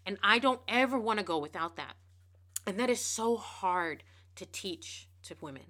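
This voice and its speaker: A woman speaks fast into a podcast mic, her voice bright and forceful. She preaches with emphasis, repeating words and restarting phrases as she drives her point home. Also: medium pitch, lively intonation. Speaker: podcast host. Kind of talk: casual motivational podcast monologue.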